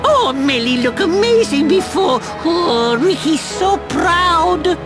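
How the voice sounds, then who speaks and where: A very high, bouncy little furry game creature, childlike and proud, talking in simple phrases over clear background music. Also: female voice, expressive, takes it slowly, full character voice. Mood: cheerful, eager. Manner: childlike third-person speech, simple broken grammar. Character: small cheerful childlike male furry creature, earnest and proud. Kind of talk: video game voice acting.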